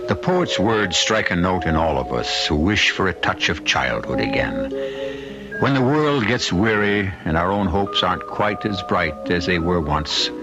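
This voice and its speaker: A man hosting and narrating an old-time radio mystery in a theatrical, portentous manner. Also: low voice. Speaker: host and narrator. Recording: muffled old radio recording, loud music behind.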